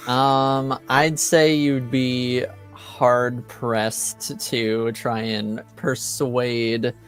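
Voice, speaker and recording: A man of average pitch narrates a tabletop game as its game master. His delivery is very slow and halting, casual and made up as he goes, over faint music. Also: level tone.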